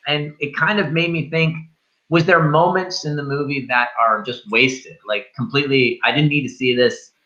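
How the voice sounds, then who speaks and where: Bright-voiced man on slightly dull recording. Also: a little high, fairly even intonation, moderate pace. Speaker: film review show panelist. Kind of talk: casual movie discussion. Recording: slightly dull, limited-band sound.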